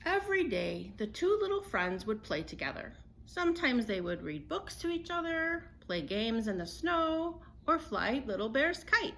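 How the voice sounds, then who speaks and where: A woman storyteller reading a children's picture book aloud in an expressive, unhurried way. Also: on the high side, low-quality recording.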